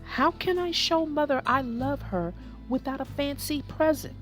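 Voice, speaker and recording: A woman's voice: high voice, unhurried, faint music behind, voice pushed up. A woman reading aloud as a worried young boy turtle, asking earnest questions in a storybook.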